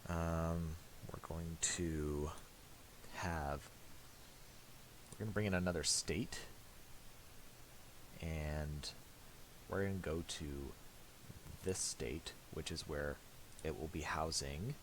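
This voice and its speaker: A man talking through a software tutorial casually, thinking aloud in a hesitant, piecemeal way. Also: a bass voice, rough recording. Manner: hesitant, halting, piecemeal delivery. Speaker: tutorial presenter. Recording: steady hiss and clicking noise.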